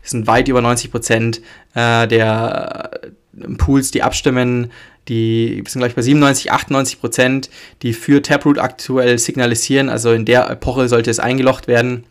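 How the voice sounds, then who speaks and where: A man's voice: medium pitch, flat delivery, normal speed. German man with a stuffy cold. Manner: loose and rambling, many fillers and self-corrections. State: has a cold, stuffy nose. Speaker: podcast host. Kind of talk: casual solo podcast talk.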